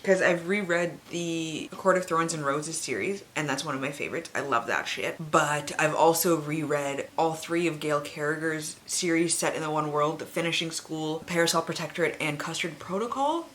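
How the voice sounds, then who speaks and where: Lower-pitched woman, chatty and noisy background. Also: normal speed, few pauses, fairly bright tone. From Canada. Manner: informal, sweary, emphatic opinions. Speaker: book reviewer, video host. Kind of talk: casual chatty vlog.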